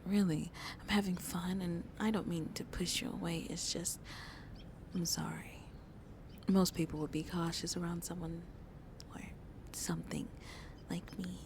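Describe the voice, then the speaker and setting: A woman playing a shy, lonely vampire in an intimate ASMR roleplay, speaking softly and hesitantly, often half whispering. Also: lowish voice, a little hiss or hum. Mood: apologetic, conflicted. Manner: slow, hesitant, halting, soft and breathy. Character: shy, lonely female vampire on a tender first date.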